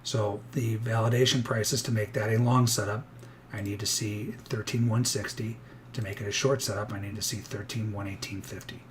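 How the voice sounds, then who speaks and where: Man, slightly hoarse, lowish voice, chatty live trading commentary with clear noise underneath. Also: fairly even intonation, a little fast. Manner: chatty, thinks aloud, many restarts. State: a little hoarse. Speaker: futures day trader streaming live.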